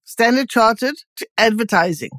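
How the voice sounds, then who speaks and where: A woman's voice: on the high side, expressive, normal speed, a bit bright, dry recording, clean studio sound. A woman hosting a podcast, upbeat and enthusiastic as she introduces her guest and asks questions. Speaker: podcast host. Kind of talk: scripted intro and interview questions.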